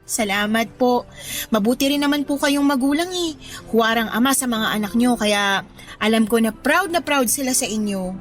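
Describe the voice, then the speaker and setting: A woman plays a hopeful young Filipino student girl with a fairly high voice. She is respectful, sweet and earnest, acting in a radio drama with music underneath. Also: normal speed, natural voice. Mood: admiring, hopeful. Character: polite, admiring, hopeful young female student.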